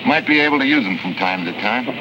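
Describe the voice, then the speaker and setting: An actor playing a tough, wry American undercover agent in a vintage 1950s adventure drama, terse and deadpan. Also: male voice, mid-range voice, fast, highs cut off, background noise, natural voice. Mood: relaxed, wry. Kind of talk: 1950s hard-boiled adventure acting. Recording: vintage 1950s TV soundtrack, music behind.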